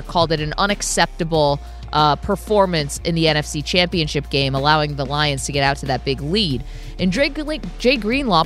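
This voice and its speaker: A woman's voice: lowish voice, a bit bright. Chatty woman sports radio co-host. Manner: chatty, laughs often, quick asides. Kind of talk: casual sports talk.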